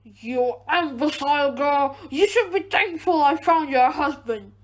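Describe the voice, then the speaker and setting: A woman's voice: high voice, measured pace. A woman playing a domineering, scolding mother in an amateur radio drama. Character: domineering scolding mother pushing an arranged marriage.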